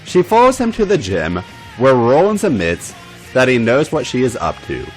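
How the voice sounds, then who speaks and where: A man's voice: mid-range voice, wildly swooping pitch, moderate pace, soft background music, poor audio. A man hosting a podcast, reading a story aloud in scripted narration. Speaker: podcast host and story reader.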